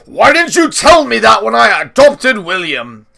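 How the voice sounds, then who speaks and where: A man's voice: high voice, very animated, unhurried, vocal fry, pushed and bright, pitched above the performer's own voice, full character voice. Man acting a thundering, imperious old lord. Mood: loud outrage. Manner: blustering, thundering when crossed. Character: imperious, hot-tempered old lord, a doting grandfather.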